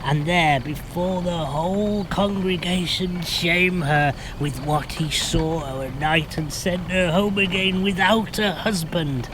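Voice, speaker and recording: A man's voice: sits high, slow, few pauses. An actor playing a villain's henchman telling his tale in a Shakespeare radio play. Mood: deliberate. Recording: clearly noisy, vehicle-like ambience.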